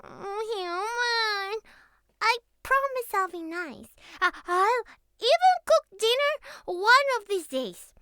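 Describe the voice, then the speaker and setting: Casting: playful young catgirl for ASMR roleplay. Very high, unhurried, pouty, childlike and affectionate, close dry sound. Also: big pitch swings, bright, forceful tone, no room sound, full character voice. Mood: eager, proud. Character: young catgirl, former cat, clingy, playful, naive.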